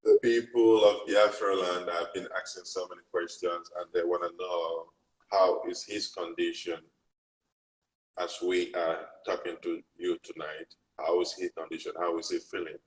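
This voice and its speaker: A man interviewing a guest, asking questions haltingly with frequent filler pauses. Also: deep voice, level tone, recorded from a distance, low-quality recording. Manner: hesitant, many filler pauses.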